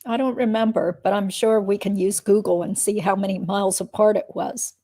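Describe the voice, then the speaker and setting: A woman reading the part of a guarded witness in a deposition, giving terse, measured answers. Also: mid-range voice, fairly even intonation, dark tone, lightly acted. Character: guarded adult female witness being deposed. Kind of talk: dramatic reading of a legal deposition transcript.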